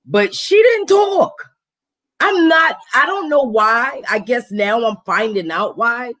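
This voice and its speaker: A woman with a raspy, deep voice hosting a casual live stream, animated and slangy, acting out quotes. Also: slow pace. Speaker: host.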